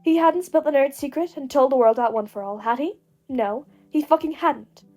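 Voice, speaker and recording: A woman narrates fiction in a high voice. Her delivery is scripted and expressive, with faint music behind it. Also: normal speed. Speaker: podfic narrator.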